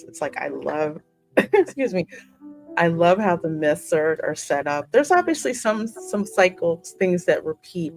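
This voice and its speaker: A woman hosting a gaming channel, chatting casually and effusively, repeating words for emphasis. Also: deep voice. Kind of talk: casual gaming commentary and chat. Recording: music playing behind the voice. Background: music.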